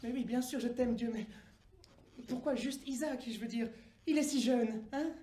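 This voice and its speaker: A man's voice: very high voice, normal speed, dark tone, pitched above the performer's own voice. A man voicing an anxious old father who pleads and bargains nervously. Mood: pleading. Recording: echoey room.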